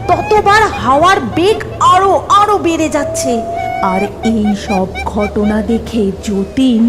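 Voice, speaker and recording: A woman's voice: sits high, unhurried, crowd noise, background noise. A woman narrating a scripted horror cartoon story in a dramatic style. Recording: loud background music.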